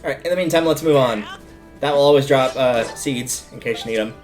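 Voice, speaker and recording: A man's voice: medium pitch, brisk. Casual male game streamer over music. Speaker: livestreamer. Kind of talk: casual video game livestream commentary.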